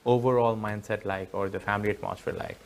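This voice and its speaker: A man's voice: fairly low pitch, fairly even intonation, quick pace, vocal fry, slight background noise. A man hosting a talk show, interviewing a guest in a polite, deferential and conversational manner.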